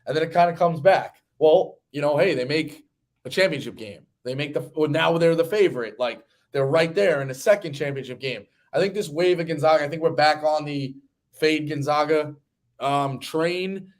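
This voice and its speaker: A man's voice: on the high side, moderate pace. A man in his thirties hosting a sports betting show, talking in a casual, rambling, conversational way. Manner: rambling, conversational, occasional swearing.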